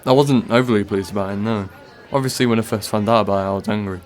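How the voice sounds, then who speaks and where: A man's voice: lowish voice, quick pace, lightly acted. A man playing a defensive, uneasy office worker under suspicion in a radio play, speaking hesitantly and guardedly. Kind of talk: student radio drama acting. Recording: cafe crowd chatter behind.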